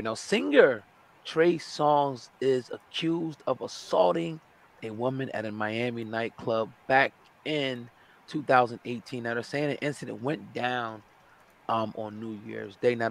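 A man hosts a hip hop news vlog with a hoarse, gravelly voice. He keeps his delivery chatty and addresses his viewers directly. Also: mid-range voice.

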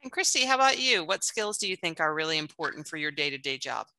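Woman, lowish pitch, crisp bright tone, panel moderator, dry headset sound. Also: sing-song, quick pace, no room sound, poor audio. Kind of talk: moderating a discussion. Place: indoors, home room. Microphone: headset on video call.

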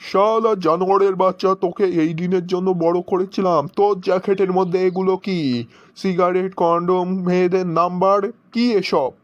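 A man's voice: high-pitched, level tone, moderate pace, no room sound, lo-fi sound, close to an everyday voice. A man playing an exasperated, questioning character in comic cartoon skits, speaking in a declamatory way. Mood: angry scolding. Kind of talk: cartoon joke skit voice-over.

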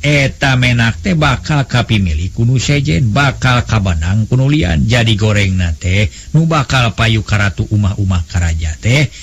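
A man voicing a calm, patient elder brother, a wise nobleman with a Sundanese accent, soothing and advising in a storybook tale. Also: medium pitch, normal speed, few pauses, slightly rough.

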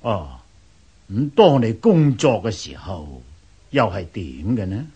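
Slow, raspy ancient king. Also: on the low side, sing-song, warm tone, highs cut off, heavily acted. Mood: curious, regal. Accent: Hong Kong Cantonese. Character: curious, stately male ruler of ancient China. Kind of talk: radio drama acting.

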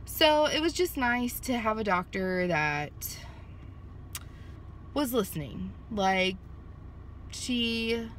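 A woman's voice: medium pitch, a bit bright. Woman, 30s, casual vlog, parked car, phone at arm's length, chatty, rambling, faint background hum. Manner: chatty and rambling, with many fillers and restarts. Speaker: vlogger. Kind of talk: casual chat to camera. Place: parked car in a sunny lot. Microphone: handheld phone at arm's length.

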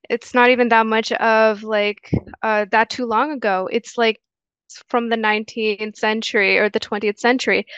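A woman's voice: fairly high pitch, a bit bright, clear, resonant tone, no room sound. A young woman from Guyana, a guest on a video call, answering earnestly with many fillers and restarts. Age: young adult. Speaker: interview guest. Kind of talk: casual interview answers. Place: small room indoors. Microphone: headset on video call. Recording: dull lo-fi video call audio.

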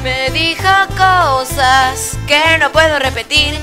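High female voice belting a parody song, super slow, loud music.

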